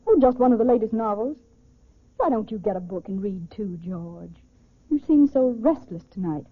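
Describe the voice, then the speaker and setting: A woman's voice: medium pitch, expressive, moderate pace, natural voice. An actress playing a polite well-to-do lady in a 1940s radio drama. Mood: gentle. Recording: tinny, band-limited 1940s radio recording.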